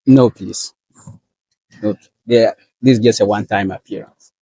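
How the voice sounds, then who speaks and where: A young man with a Ghanaian accent gives earnest, measured answers as an interview guest, often pausing on fillers. His voice sits fairly low, and the recording is dry and close. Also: fairly even intonation, mellow, slightly rough, no echo. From northern Ghana. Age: young adult. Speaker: guest, valedictorian student.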